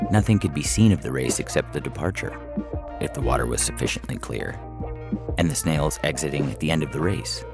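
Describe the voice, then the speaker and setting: A man's voice: very deep voice, gravelly. A man reading a short science fiction story as scripted narration. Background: music under the reading.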